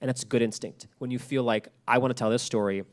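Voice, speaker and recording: A man's voice: mid-range voice, fairly even intonation, fast. A man speaking informally on a panel about his craft, explaining things in an encouraging, hesitant way as he thinks aloud. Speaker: guest speaker, podcast creator. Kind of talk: informal panel talk on storytelling. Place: live library event.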